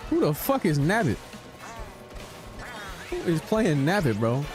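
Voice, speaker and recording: A man's voice: fairly high pitch, sing-song, a little slow. A man teasing his friend in profane, casual banter while they play a game on stream. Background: game music.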